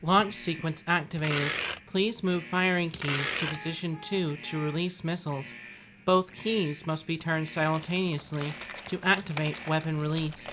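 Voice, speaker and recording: A woman's voice: low voice, fairly even intonation, moderate pace, background noise, close to an everyday voice. Woman acting as impassive automated launch announcer. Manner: impassive, formal, repetitive. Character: calm automated female missile launch announcer. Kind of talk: scripted automated announcement in audio drama.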